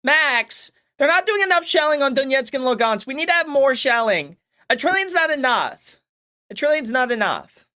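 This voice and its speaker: A man parodying a shrill, sarcastic pro-war woman activist with a put-on Slavic accent. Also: very animated, moderate pace, bright, forceful tone, exaggerated voice. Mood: mocking.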